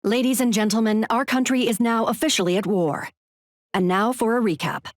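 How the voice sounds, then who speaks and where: An actress playing a grave, composed TV news anchor, reading breaking news in a formal, measured, urgent manner. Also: female voice, fairly high pitch, dry recording, clean studio sound, close to an everyday voice.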